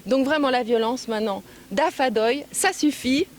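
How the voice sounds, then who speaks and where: A woman speaking as an official at a public event, phrasing deliberately with frequent hesitant pauses, recorded on location. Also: high-pitched, background noise. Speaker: European Union official giving a speech and interview. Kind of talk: public speech and press remarks.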